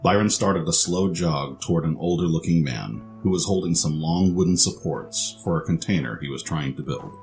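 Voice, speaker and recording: A man's voice: low voice, normal speed, hardly any pauses, a little mellow, faint music behind. A man narrating a scripted story for an audio drama.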